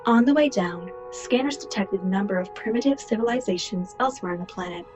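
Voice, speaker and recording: A woman playing a proud, composed colonist dictating a formal log in a radio play, measured in manner. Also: medium pitch, close to an everyday voice. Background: music.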